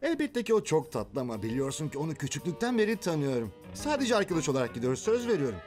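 A man's voice: on the high side, big pitch swings, quick pace, darkish tone. A man voicing a confident, easygoing teenage werewolf big brother in a dubbed cartoon, cocky and teasing. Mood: placating. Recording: music behind the voice.

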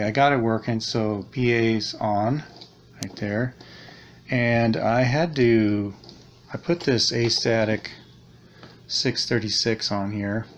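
A man giving a casual, unscripted repair walkthrough, thinking aloud in a hesitant, rambling way, recorded on a handheld camera at a desk indoors. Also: lowish voice. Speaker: hobbyist radio repairer presenting a video. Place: small indoor room at a desk. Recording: steady background noise, handheld camera recording.

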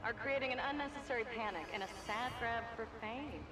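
Woman playing a fairly high, disdainful official. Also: natural voice. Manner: measured, disdainful. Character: dismissive, self-assured female public official. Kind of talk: video game cutscene acting.

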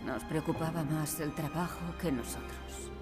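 A middle-aged woman acting a bitter, regretful scientist in a video game, hushed and grave, with a Castilian Spanish accent. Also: deep voice, moderate pace, music in the background, natural voice. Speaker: game voice actor.

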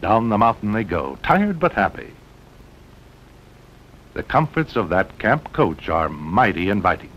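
An American man narrates a vintage sixties outdoor film with very animated, swooping delivery and dramatic short phrases. His voice sits fairly low. The soundtrack is dull and old, with a faint rumbling noise beneath it. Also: a little slow, pauses often. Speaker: documentary narrator. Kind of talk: 1960s promotional film narration.

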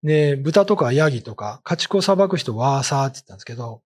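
A man's voice: mid-range voice, lively intonation, quick pace, husky. A middle-aged man giving a casual, explanatory talk on folklore in a conversational manner, speaking into a desk microphone. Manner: conversational, explaining with everyday examples. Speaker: ghost story writer and commentator. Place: indoors, seated at a desk. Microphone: desk microphone with pop filter.

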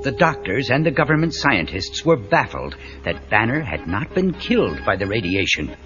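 Animated male story narrator over music. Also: lowish voice, moderate pace. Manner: dramatic, emphatic. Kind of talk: dramatic scripted children's storytelling. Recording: muffled cassette with prominent music.

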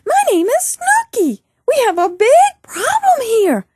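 A woman's voice: very high-pitched, wildly swooping pitch, unhurried, a little mellow, a little hiss or hum, exaggerated voice. A woman voicing a perky, bossy little girl puppet, speaking singsong to children in a puppet show. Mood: excited. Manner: singsong, addressing children directly.

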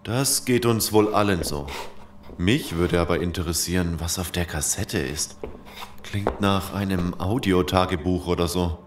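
A man's voice: deep voice, measured pace, background noise. An actor playing a sober, uneasy male survivor in a horror audio drama.

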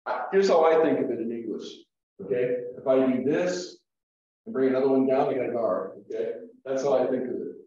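A man teaching a Greek lesson at a whiteboard, explaining slowly with fillers and restarts, heard through a room mic on a video call. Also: mid-range voice, dark tone, far from the mic. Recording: echoey room, dull lo-fi sound.

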